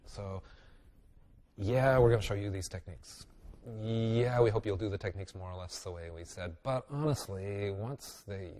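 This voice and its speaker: A man with a very low voice and a head cold that makes him sound nasal and congested. He teaches bodywork in an easygoing webinar style, thinking aloud with plenty of ums and dry little jokes. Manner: relaxed, musing, with fillers and wry asides. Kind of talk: instructional webinar talk.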